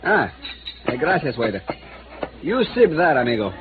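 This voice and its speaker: An actor voicing a genial, worldly Venezuelan police chief with a Spanish accent, teasing and leisurely in formal English. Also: male voice, mid-range voice, very animated, moderate pace, lightly acted. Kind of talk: 1950s radio acting. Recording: muffled, noisy vintage radio broadcast.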